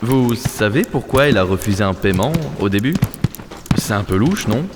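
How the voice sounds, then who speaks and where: A man's voice: fairly low pitch, moderate pace, music in the background, lightly acted. A man playing an easygoing, cheeky insect companion who talks casually in an audio drama. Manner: casual, animated. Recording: long reverb, faint noise.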